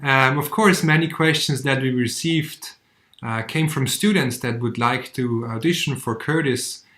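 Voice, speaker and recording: A man co-hosts a casual video call chat in an even, mid-pitched voice, putting friendly questions to the guest. His room adds a clear echo. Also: unhurried. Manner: friendly, short backchannel replies. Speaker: co-host. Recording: roomy echo.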